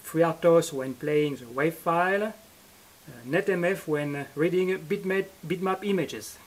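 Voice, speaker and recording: A man narrating a technical electronics demo as its presenter, in a halting, matter-of-fact way. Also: on the high side, rough recording.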